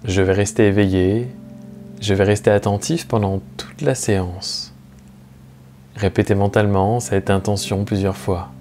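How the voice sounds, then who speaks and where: Low-voiced man guiding relaxation over music. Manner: slow, calm, soothing, measured with long pauses. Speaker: guided meditation teacher. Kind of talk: guided relaxation narration. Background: soft plucked guitar music.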